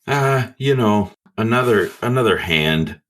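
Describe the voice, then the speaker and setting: A man's voice: on the low side, lively intonation, a little slow, a bit bright. A man playing a tabletop role-playing game with wry, dry humor. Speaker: player. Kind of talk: casual tabletop play.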